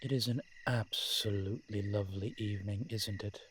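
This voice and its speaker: A man playing a courteous, centuries-old vampire in an audio roleplay, speaking in a measured, formal, genteel way with deliberate pauses. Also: fairly low pitch, steady tone, fairly bright tone, a little hiss or hum, lightly acted. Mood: pleasant, reassuring. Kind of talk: scripted audio roleplay addressed to the listener.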